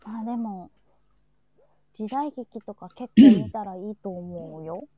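A woman's voice: mid-range voice, expressive, unhurried, dark tone. A woman hosting a casual live audio chat, agreeable and often repeating her affirmations. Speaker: host of a live audio talk show.

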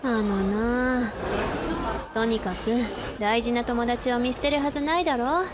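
A woman's voice: on the high side, fairly even intonation, measured pace, over a noisy crowd, natural voice. An actress playing a blunt, tomboyish teenage girl with brusque phrasing in a radio drama. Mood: gruff, sincere. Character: blunt tomboyish high school girl, loyal friend. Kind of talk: anime-style Japanese radio drama acting. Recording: loud traffic-like background noise.

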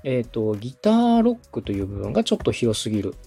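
Husky, mellow male podcast coach. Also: mid-range voice, sing-song, fast talker, faint music behind. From Osaka, Japan. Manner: polite, methodical, explanatory. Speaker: host, podcast producer coaching a client. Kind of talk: structured advice in a podcast consultation.

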